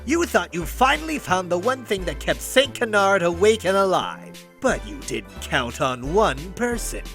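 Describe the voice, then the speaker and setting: Pompous, wildly animated duck superhero. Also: male voice, high voice, moderate pace, creaky, faint music behind, exaggerated voice. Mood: grandiose. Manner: theatrical, grandiose declamation. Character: pompous male duck masked superhero, past his prime. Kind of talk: amateur comic dub of a superhero cartoon.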